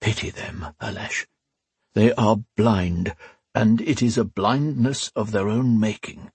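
A grave old priest with a low, sad voice, as a man puts him on, speaking slowly and gently. The tone is husky and soft, recorded close and dry. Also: dry recording. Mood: solemn. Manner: low, sad, slow and solemn. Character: old priest, sorrowful and calm, ghostly.

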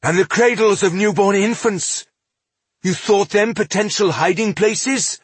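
Man as an anguished elf lord, high and impassioned. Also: a little slow, breathy edge, dry recording. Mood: furious accusation. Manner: accusatory, impassioned. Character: anguished, indignant male elf accusing his people's killer.

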